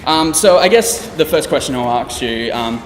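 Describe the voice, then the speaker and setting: A man's voice: mid-range voice, animated, moderate pace, a little hiss or hum. Echoey young man hosting a casual interview. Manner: rambling, many fillers and restarts. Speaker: interviewer, channel host.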